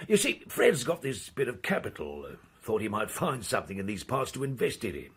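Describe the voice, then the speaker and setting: An actor playing a gruff, grumbling middle-aged sea skipper with a raspy, creaky voice and rough colloquial speech, in a radio drama. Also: male voice, medium pitch, wildly swooping pitch, a little fast, a bit bright, heavily acted. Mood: wheedling, affable. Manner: colloquial, uneducated sailor's speech.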